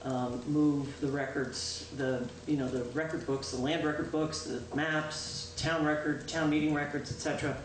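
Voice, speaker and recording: A woman town clerk giving a chatty, informal report to a town board, loud-voiced and pausing often with "um". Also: low-pitched, steady tone. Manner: chatty, with frequent "um" and self-corrections. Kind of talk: informal spoken report at a public meeting. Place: town meeting room. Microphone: distant room microphone. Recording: echoey, distant, noisy local-access meeting recording.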